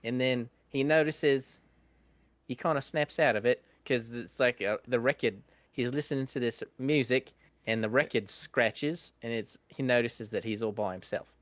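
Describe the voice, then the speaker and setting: A man of middling pitch describes his character's actions in a tabletop game, rambling and playful with many fillers. The recording is dry and close. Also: normal speed, no echo. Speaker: player. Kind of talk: casual in-character play description.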